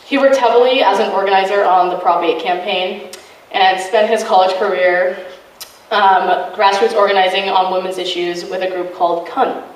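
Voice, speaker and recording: A woman activist giving an informal talk to a student group, earnest and loud, with lots of ums. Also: mid-range voice, fairly even intonation, normal speed. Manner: earnest, many fillers. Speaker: activist speaker. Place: college meeting room. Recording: echoey room from a distance.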